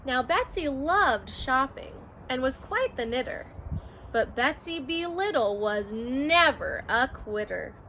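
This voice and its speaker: A woman's voice: high-pitched, big pitch swings, slow, pushed and bright, a little hiss or hum, rough recording. A woman teacher reading a rhyming children's picture book aloud, in a sing-song, rhythmic storytelling manner.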